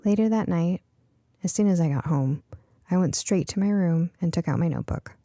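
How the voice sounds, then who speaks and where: A woman narrating a first-person audiobook story in an expressive, suspenseful storytelling manner. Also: lowish voice, fairly quick, soft background music.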